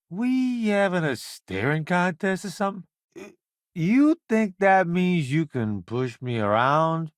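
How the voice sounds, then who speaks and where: Video game space crewmate: showy ex-celebrity food critic, unhurried, very expressive, wry and self-assured. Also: male voice, fairly high pitch, no echo, clean studio sound, full character voice. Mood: cocky, amused. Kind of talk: video game character voice lines.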